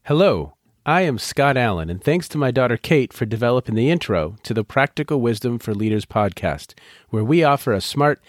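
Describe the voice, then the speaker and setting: A man hosting a leadership podcast, speaking in a measured, earnest way and guiding his guest with questions. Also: mid-range voice, no echo, studio quality. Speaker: podcast host. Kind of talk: podcast interview.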